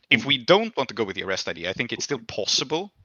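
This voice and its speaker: Bright-voiced man running a tabletop game. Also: mid-range voice, very animated, fast talker, slightly rough, no echo, dull audio. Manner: thinks aloud, explanatory, hedging proposals. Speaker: game master and host. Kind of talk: casual tabletop roleplay discussion.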